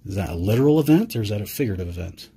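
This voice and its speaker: A male interviewer with a rather low, husky voice. He talks casually and thinks out loud, circling his questions with fillers and restarts. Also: expressive, racing. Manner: searching, thinks aloud with many fillers.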